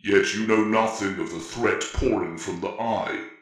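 A man voicing a huge, grim space marine sergeant with an impossibly deep, rumbling voice and a blunt, commanding delivery. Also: measured pace, echoey room, natural voice.

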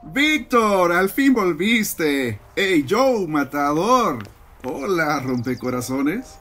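Man voicing a breezy, lively tomcat dad. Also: fairly high pitch, very slow, soft background music, heavily acted. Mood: exuberant. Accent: Latin American Spanish. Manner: chummy, expressive. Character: breezy, affable, fast-talking tomcat father. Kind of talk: animated cartoon fan dub.

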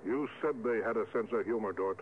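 A man's voice: lowish voice, a little fast, close to an everyday voice. Starship captain, male, played by an actor: suspicious, authoritative, old radio serial style, narrow tinny band with heavy hiss. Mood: grim, brooding. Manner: clipped, authoritative orders. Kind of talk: mid-century radio drama acting. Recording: noisy vintage radio, thin narrow band.

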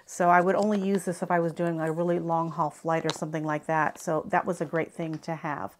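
Casting: American woman, 50s, slightly low voice, chatty explanatory vlogger doing informal product reviews. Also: little pitch movement, a little fast, a little hiss or hum. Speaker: travel gear vlogger. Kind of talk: casual product review to camera. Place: small furnished living room, indoors.

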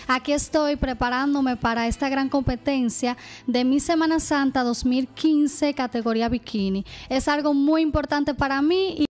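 A woman's voice: high-pitched, moderate pace, a little mellow. A young woman, a fitness competitor speaking shyly into a handheld mic on a TV set. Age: young adult. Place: TV studio set.

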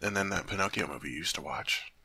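A man's voice: deep voice, animated, quick pace, sharp tone, breathy edge, no room sound, full character voice. A man playing a sweet, naive teddy bear turned human in an ASMR roleplay, chatting earnestly to the listener as he puzzles over human things. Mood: earnest, sheepish.

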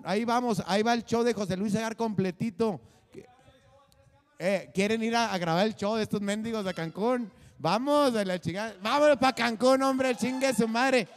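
A man's voice: high voice, long reverb tail. A man, hosting a casual comedic monologue with crude jokes and lots of fillers, talking into a handheld mic at a desk. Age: 40s. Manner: rowdy, crude joking, many fillers. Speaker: comedian and show host. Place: small studio set with drum kit behind a desk.

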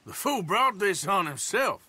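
An actor playing a gruff, sardonic outlaw enforcer in a western game, blunt, with an American accent. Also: male voice, high-pitched, very animated, a little slow, bright, forceful tone, full character voice. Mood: weary, scornful. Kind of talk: western video game acting.

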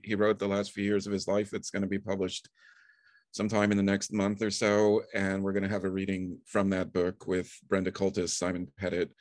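Middle aged guy hosting an online reading, low voice, dry close sound, kinda halting. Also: no room sound. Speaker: host of a poetry reading series. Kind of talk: casual closing remarks. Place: small bedroom on a video call.

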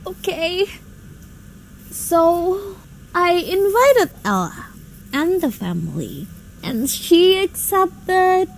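A woman playing a flustered, excitable young society daughter in a radio drama, exclaiming and stammering dramatically. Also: extremely high pitch, very slow, pauses often, slight background noise, exaggerated voice, rough recording. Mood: distraught, panicked. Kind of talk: stage-like radio drama acting.

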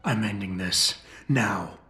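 Stern video game hero, indignant n accusing, roomy sound w music playing behind. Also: male voice, lowish voice, takes it slowly, sharp tone. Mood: grim defiance. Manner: terse, intense, accusing. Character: stern, indignant male action hero confronting a villain. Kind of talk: video game cutscene acting.

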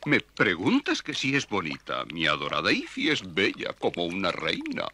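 A man's voice: low voice, wildly swooping pitch, moderate pace, very noisy. A man playing a portly, lovestruck beetle in a children's cartoon, speaking in a florid, theatrical, gushing way. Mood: adoring. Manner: florid, gushing, prone to operatic crooning. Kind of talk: dubbed children's cartoon acting.

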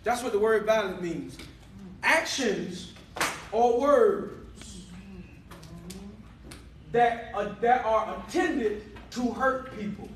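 Man preaching, very high voice, far from the mic. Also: slow, long pauses, a little hiss or hum. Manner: call-and-response preaching, rising to shouts. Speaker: pastor. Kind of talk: sermon. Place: church hall, indoors. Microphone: far camera. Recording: echoey hall, camera far off.

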